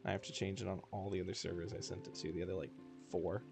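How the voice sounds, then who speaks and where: A man's voice: deep voice, level tone, quick pace, vocal fry. A man livestreaming a video game in casual, slangy, joking and self-deprecating chat. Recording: game music behind the voice. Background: video game music.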